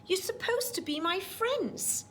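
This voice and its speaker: A woman's voice: very high-pitched, animated, measured pace, a bit bright, slight background noise, voice pushed up, exaggerated voice. A woman voicing a stubborn young schoolboy in a storybook read-aloud. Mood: indignant, hurt. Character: stubborn young schoolboy, selfish but learning. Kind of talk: storybook character voice.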